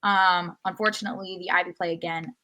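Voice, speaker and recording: A woman's voice: fairly low pitch, fairly even intonation, quick pace, sharp tone, rough recording. A woman hosting a podcast, chatting casually about sports in an enthusiastic, talkative way. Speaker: podcast host.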